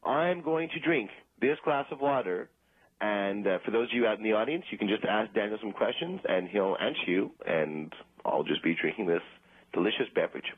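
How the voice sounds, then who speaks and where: A man playing a meek, anxious amateur ventriloquist in deadpan radio comedy, hesitant and apologetic. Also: fairly low pitch, fairly quick, breathy edge, no room sound. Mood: eager. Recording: muffled radio sound, music behind.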